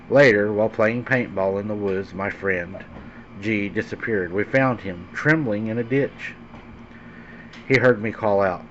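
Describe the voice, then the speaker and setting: A man narrating a scary story as host, in a dramatic, suspenseful way with deliberate, emphatic phrasing. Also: fairly low pitch, a bit bright. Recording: dull lo-fi sound with faint background hum.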